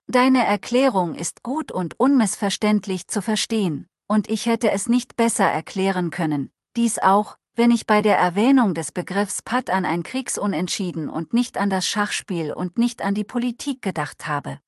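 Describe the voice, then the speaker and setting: A calm, formal advisor, read by a woman whose first language is not German, speaking in a measured and polite way. The pitch sits in an ordinary middle range. The sound is close and dry. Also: no room sound, lightly acted.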